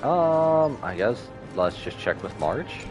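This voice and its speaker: Man streaming, swooping pitch over game music. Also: big pitch swings, slow, muffled recording. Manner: musing aloud in short reactions. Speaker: live streamer. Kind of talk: casual game commentary. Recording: music behind the voice.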